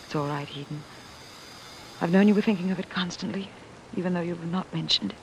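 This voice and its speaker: Anxious loving sweetheart, melodramatic, pleading, old-time radio acting, slight broadcast noise. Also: female voice, fairly low pitch, brisk, creaky. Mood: tender, concerned. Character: tender, anxious sweetheart of a great leader. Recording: faintly noisy vintage radio broadcast.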